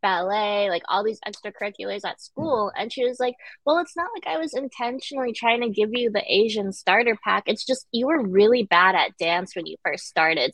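A young woman from Connecticut, a guest in a casual interview, talking relaxed with many fillers and easy laughs. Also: fairly high pitch, no room sound, poor audio. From United States, Connecticut. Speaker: guest, director and dancer.

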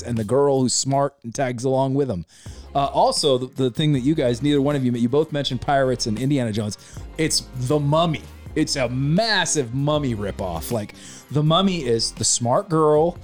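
Opinionated man ranting about a movie. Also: medium pitch. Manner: opinionated, rambling, animated retelling. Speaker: podcast co-host. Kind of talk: casual movie review chat.

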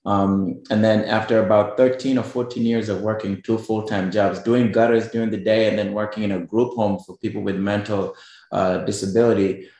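A man's voice: on the low side, fairly even intonation, normal speed, a little mellow, heavy reverb, rough recording. A middle-aged man from Kenya with a Kenyan accent, a guest in a radio interview, talking casually with many fillers and restarts. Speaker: guest business owner.

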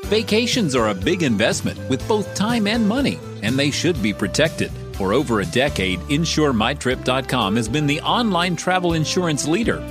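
A man reading a scripted radio commercial in a smooth, persuasive way. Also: medium pitch. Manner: smooth, persuasive, lively delivery. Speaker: ad announcer. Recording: clear music bed behind.

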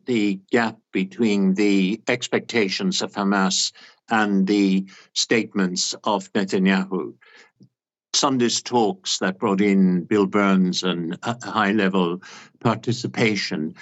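An older man giving analysis as an expert guest in a news interview, earnest, emphatic and deliberate. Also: lowish voice, highs cut off. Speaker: expert guest, director of an organisation.

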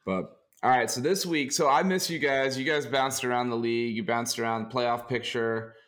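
A young man co-hosting a casual sports podcast, hoarse and raspy from a sinus infection, talking in a relaxed, jokey way. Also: medium pitch, normal speed. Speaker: co-host.

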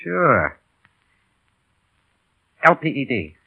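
A loyal, slangy veteran aviator in forties radio acting, on a thin, band-limited old broadcast. His husky voice jumps about excitably, yet stays earnest and folksy. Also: mid-range voice, drawn-out words, phone-quality sound, exaggerated voice. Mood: wary, bluffing. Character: veteran pilot, loyal, plain-spoken, excitable pal.

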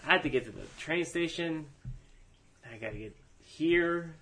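A man from New Jersey bantering on a podcast, cracking crude deadpan jokes. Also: a little high, normal speed, poor audio. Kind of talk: casual banter. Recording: faint background noise.

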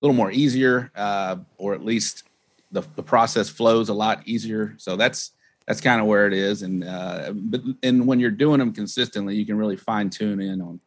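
A man's voice: lowish voice, steady tone, moderate pace. A man talking as a podcast guest in a casual, rambling, informal way, telling anecdotes as he goes. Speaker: podcast guest, competition barbecue pitmaster. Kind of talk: casual conversational interview.

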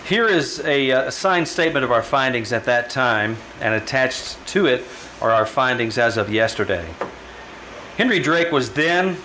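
An actor playing a formal, authoritative psychiatrist giving testimony in an old-time radio drama. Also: male voice, medium pitch, animated, normal speed, bright, forceful tone, slight background noise. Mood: formal, assured.

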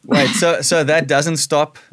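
A man hosting a casual interview, chatty, laughing often and asking halting questions. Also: a little high, little pitch movement, fairly bright tone, no echo, studio quality. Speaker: interview host.

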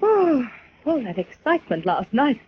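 An inquisitive society lady in an old radio drama. Her voice is very high and swoops freely, on a dull recording with some hiss. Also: big pitch swings, moderate pace. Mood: bright, curious. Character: inquisitive, animated society lady, sleuth's companion. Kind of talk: 1940s radio drama acting.

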